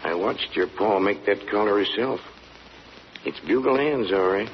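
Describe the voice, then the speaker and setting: An actor playing a loyal, soothing, folksy country neighbor with a rural American accent, in an old-time radio drama. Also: male voice, on the low side, a little slow. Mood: somber. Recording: dull, noisy old radio recording.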